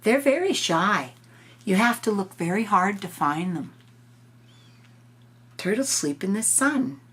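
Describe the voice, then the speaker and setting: A woman's voice: mid-range voice, expressive, takes it slowly, breathy edge. An older woman voicing a friendly storybook turtle who gives sleepy advice in a helpful, simple, emphatic way.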